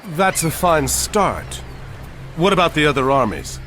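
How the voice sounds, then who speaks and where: An actor playing a bold, decisive mythic war hero in a game cutscene, speaking with authority. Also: male voice, fairly high pitch, very animated, unhurried, a bit bright. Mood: resolute. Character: bold, commanding Greek-myth war hero admiral. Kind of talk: dramatic game cutscene acting. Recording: faint music behind the voice.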